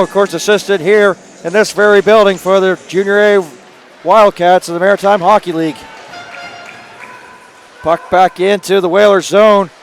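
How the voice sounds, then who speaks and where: Raspy, high-voiced hockey play-by-play man. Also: slight background noise. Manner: rapid, rising to excited calls on scoring chances. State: hoarse from days of calling games. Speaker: play-by-play announcer. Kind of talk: live hockey play-by-play commentary. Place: arena broadcast booth.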